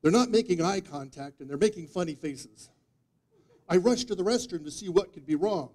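A man in his fifties giving a humorous storytelling speech, with theatrical deadpan delivery and dramatic pauses. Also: high voice. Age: 50s. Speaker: speech contest speaker. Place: meeting room before a live audience, indoors. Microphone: distant audience camera microphone.

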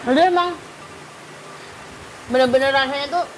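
A woman's voice: high voice, moderate pace, a bit bright, a little hiss or hum, low-quality recording. A young woman hosting a food show, chatting with enthusiasm as she tastes dishes and talks to viewers. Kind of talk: casual food review chat. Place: open-sided restaurant hut. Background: background music.

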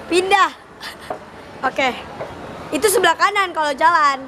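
A woman's voice: very high-pitched, a little slow, crowd noise, noisy background. Chatty teen girl vlogging in a mall. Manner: chatty, playful, giggly. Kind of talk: casual vlog chat with friends. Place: busy shopping mall, indoors. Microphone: handheld phone camera.

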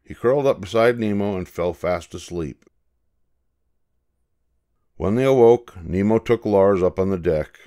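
An older man narrating a children's picture book in a measured, expressive storytelling manner. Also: deep voice. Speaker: storybook reader.

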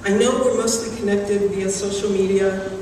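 A middle-aged woman delivering a prepared graduation speech in a measured, earnest way at a podium microphone in a large hall. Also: medium pitch, fairly even intonation, warm tone. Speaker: graduating student class speaker. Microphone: podium microphone, recorded from the audience. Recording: very echoey hall, distant, background noise.

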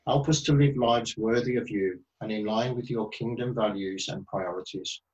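A man's voice: fairly low pitch, moderate pace, darkish tone, lo-fi sound. A man in his fifties reading out a prayer into a webcam at home. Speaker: church member leading prayer. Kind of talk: read-out prayer. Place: bedroom-like room at home. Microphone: webcam at desk distance.

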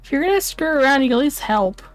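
A woman's voice: sits high, animated, quick pace. A woman streamer giving casual commentary on a horror game, muttering short, wary reactions to herself.

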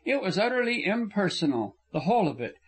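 Frank young woman, impassioned and earnest, lifted higher than a man's voice, as a male narrator puts her on. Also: very animated, a little fast, slightly rough, full character voice. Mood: animated.

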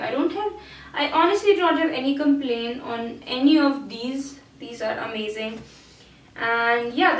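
A woman in her twenties with a casual, chatty way of talking, full of ums and restarts, reviewing her purchases to camera indoors. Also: high voice. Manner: chatty, hesitant, lots of um and I mean. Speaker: beauty vlogger. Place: small indoor room. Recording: roomy echo, mic at a distance.

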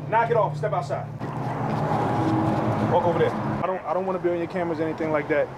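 Cop guy outside, firm and fast questions, traffic noise behind. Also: fairly high pitch. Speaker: police officer. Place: outdoor parking lot.

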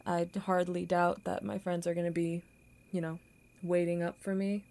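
A young woman playing a kind, awkward popular girl in an audio roleplay, with halting, stammering, flustered delivery. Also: lowish voice, level tone, a little mellow, lightly acted. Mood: shy, caring. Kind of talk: intimate audio roleplay acting.

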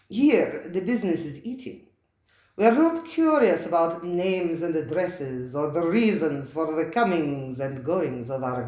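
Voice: medium pitch, a little mellow, close to an everyday voice. A reader voicing Spiro, a vehement, theatrical male restaurant owner who talks in a grandly emphatic way and tags his questions with "eh?". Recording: roomy, echoey recording.

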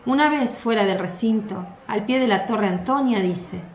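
A woman narrating a radio drama with a clear storytelling delivery. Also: medium pitch, moderate pace. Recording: echoey room.

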